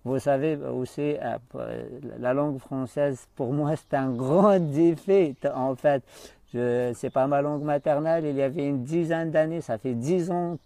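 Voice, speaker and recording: A man's voice: fairly high pitch, unhurried, dark tone, no room sound. Hesitant man coaching live. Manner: hesitant, often searching for words. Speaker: life coach hosting a live stream. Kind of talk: unscripted live-stream talk to viewers.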